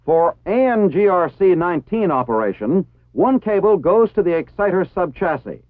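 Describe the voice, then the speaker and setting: A man's voice: high-pitched, sing-song, normal speed, no echo. Tinny vintage American male film narrator. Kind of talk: formal scripted instructional narration. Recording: tinny phone-like 1960s film soundtrack.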